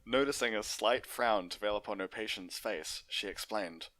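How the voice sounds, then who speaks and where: Male narrator for fan fiction readings, lowish register with a crisp, forceful edge. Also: normal speed, dry recording. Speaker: story narrator.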